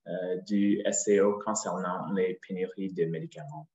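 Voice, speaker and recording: A man's voice: fairly low pitch, darkish tone. A man from Saskatchewan, a native English speaker with an English-Canadian accent, carefully reading a prepared conference presentation in French. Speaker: ophthalmologist presenter. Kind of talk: scripted presentation. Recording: echoey, dull lo-fi audio.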